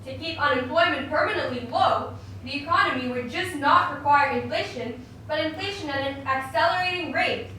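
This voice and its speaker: A woman's voice: sits high, fairly quick, few pauses, sharp tone. A woman voicing an opinionated male economist puppet in a scripted mock interview, lecturing emphatically and dismissively. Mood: scornful. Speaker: interview guest. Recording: very echoey room, distant mic, faint noise.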